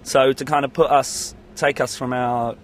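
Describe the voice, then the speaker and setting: A man speaks in a casual interview at a middling pitch. He rambles and hesitates, padding his sentences with "you know", with faint city traffic noise around him. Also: steady tone, unhurried. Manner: rambling, hesitant, peppered with 'you know'. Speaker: musician being interviewed.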